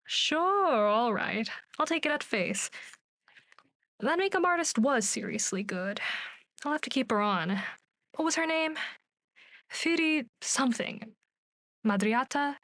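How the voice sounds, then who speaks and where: A woman acts as a weary television director in a dystopian radio drama. Her voice sits a little high and is recorded very dry. She speaks with guarded understatement. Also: lively intonation, a little slow, pauses often, fairly bright tone, dry recording. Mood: wry. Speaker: voice actor. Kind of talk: naturalistic radio play acting.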